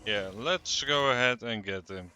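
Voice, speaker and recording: A man gives casual commentary on a game stream. He talks slowly in a bright voice, keeping his remarks short and laconic, with faint game music behind. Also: mid-range voice. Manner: laconic, murmured brief reactions. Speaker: livestreamer. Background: game music and dialogue.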